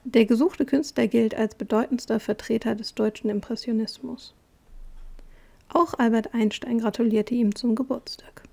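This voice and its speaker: A woman narrating a voice-over art quiz, explaining clues in a calm, measured way with small hesitations. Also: fairly high pitch, gravelly.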